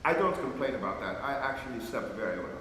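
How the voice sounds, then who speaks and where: An actor playing a fussy, irascible, self-pitying hypochondriac master, pompous and querulous, in a theatrical comedy style. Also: male voice, medium pitch, lively intonation, fast, natural voice. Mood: fussy reckoning. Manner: pompous, querulous, exclamatory scolding. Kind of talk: theatrical comedy stage acting. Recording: echoey hall, distant, faint noise.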